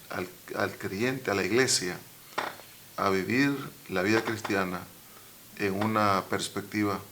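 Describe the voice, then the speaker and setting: A man hosting a church radio program and introducing his guest in a studio, talking slowly with many pauses and restarts. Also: fairly low pitch, pushed and bright, a little hiss or hum. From Nicaragua. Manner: slow, halting, many fillers and restarts. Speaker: radio program host, pastor. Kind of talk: interview introduction.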